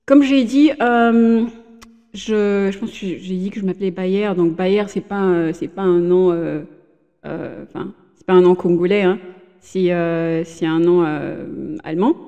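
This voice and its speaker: A woman's voice: fairly low pitch, a little slow, vocal fry, mellow, in a large hall, lo-fi sound. Hesitant woman in her thirties. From Benin. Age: 30s. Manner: hesitant, many fillers and restarts. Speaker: podcast guest. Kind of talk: casual interview chat. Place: room in a home in Germany.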